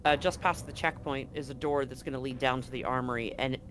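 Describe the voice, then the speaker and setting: A man's voice: mid-range voice, fairly even intonation, fairly quick, a bit bright, slight background noise. A man running a tabletop horror game as game master, narrating loosely and correcting himself as he goes. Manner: loose, conversational, self-correcting.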